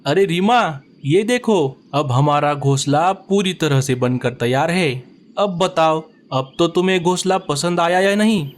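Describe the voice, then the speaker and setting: A man's voice: fairly high pitch, unhurried, close to an everyday voice. A man voicing a friendly male bird in a cartoon story, speaking politely and gratefully.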